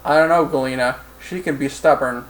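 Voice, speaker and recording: A man's voice: mid-range voice, brisk, lightly acted, rough recording. A man voicing a tough female Marine admiral with a formal, dry American accent in an audiobook story. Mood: doubtful.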